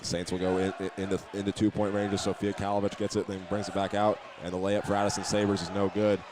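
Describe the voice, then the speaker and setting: An American man calling live high school basketball as a play-by-play radio announcer. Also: monotone, fast, noisy background. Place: indoor auditorium at a basketball game.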